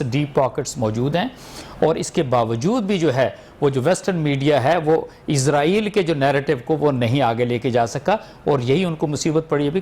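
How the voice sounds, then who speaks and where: A Pakistani man speaking as a political analyst, with faint noise behind him. His voice is a little high, and he argues emphatically, cutting in and slipping into English phrases. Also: a little mellow. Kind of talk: argumentative political commentary. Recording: noticeable background noise.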